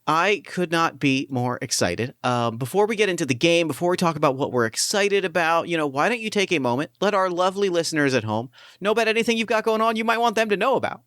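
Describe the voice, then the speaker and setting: A man's voice: on the high side, animated, fast, few pauses, a bit bright, no room sound. A man hosting a casual podcast and introducing a game, enthusiastic and chatty with lots of fillers. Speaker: podcast host and game master. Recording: dry close podcast mic.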